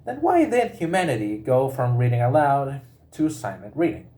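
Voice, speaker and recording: Male reader, mid-range pitch, prepared informational script, halting delivery with repeated words. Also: big pitch swings, warm tone. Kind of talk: reading a prepared informational text aloud.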